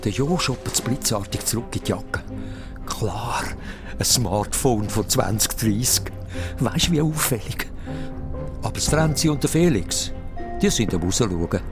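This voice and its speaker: A man with a Swiss German accent narrating a children's radio play in an animated storytelling style. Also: fairly low pitch, unhurried. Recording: music behind the voice.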